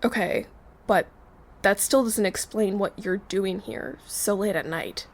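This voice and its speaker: A woman's voice: mid-range voice, measured pace. A woman playing a wary, questioning young woman in an audio drama, guarded and matter-of-fact. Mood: suspicious. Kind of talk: naturalistic audio drama acting.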